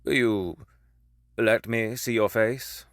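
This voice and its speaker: An actor playing a proper, earnest Victorian gentleman lawyer in an audio drama, polite, formal, wary and a little hesitant. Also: lowish voice, slow, no room sound, studio quality. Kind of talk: theatrical audio drama acting.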